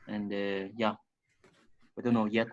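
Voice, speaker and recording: A man speaking tentatively and haltingly as a participant in an informal work conference call. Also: on the low side, flat delivery. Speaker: meeting participant. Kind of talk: informal work meeting talk. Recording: slightly dull conference call audio.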